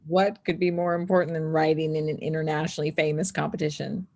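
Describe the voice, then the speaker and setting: A woman plays a teenage snowboarder girl in a film script. The voice is low and creaky, and she comes across as guarded and anxious, with a wry streak. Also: level tone, quick pace, dark tone. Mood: wry, friendly. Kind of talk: screenplay table read.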